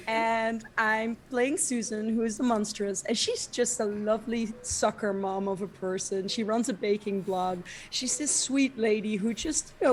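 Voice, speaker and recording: A woman introducing herself as a tabletop game player, hoarse from a cold and cheerful. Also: mid-range voice, a little hiss or hum. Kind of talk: casual chat.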